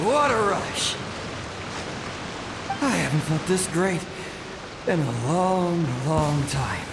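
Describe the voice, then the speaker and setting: A man's voice: a little high, sing-song, gravelly, exaggerated voice. An actor playing a battle-hungry, gleeful male swordsman spirit in a video game, with a ghostly, exultant delivery. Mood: elated. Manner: drawn-out, exultant, boastful. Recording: echoing voice under loud rushing noise.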